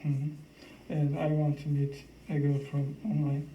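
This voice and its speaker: Twenties man, flat hesitant answers, distant dull echoey audio. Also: on the high side, measured pace, slight background noise. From Turkey. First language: Turkish. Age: 20s.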